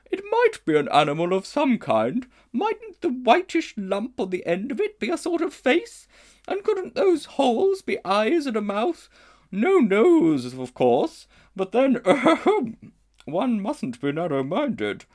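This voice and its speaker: A man doing a high, lilting she-elephant. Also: huge pitch swings, slow pace, a little mellow, exaggerated voice. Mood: musing. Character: stately motherly she-elephant, proud and thoughtful.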